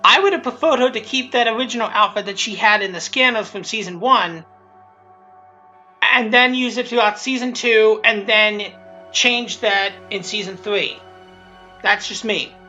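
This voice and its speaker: Bright voice, casual rambling commentary, halting, repetitive, lo-fi dull sound, faint music behind. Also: mid-range voice. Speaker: creator commentating on own abridged series. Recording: dull lo-fi mic. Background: show audio and music playing underneath.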